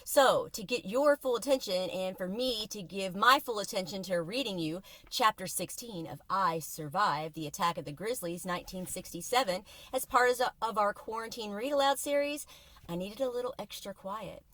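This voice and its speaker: Lady reading a kids book out loud in her car, bright voice, really expressive and suspenseful, dry sound. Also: medium pitch, moderate pace, dry recording. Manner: expressive, suspenseful storytelling with varied volume. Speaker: teacher reading a children's book aloud. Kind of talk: dramatic storybook narration. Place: inside a parked car.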